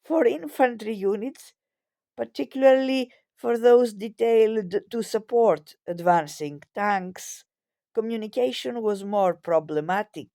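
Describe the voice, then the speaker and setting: A woman narrates in a slow, measured documentary style. Her tone is soft-edged and mellow, at a middle pitch for a woman. The delivery is formal and scripted, and the sound is close and dry. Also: dry recording. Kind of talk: scripted documentary narration, reading a history text.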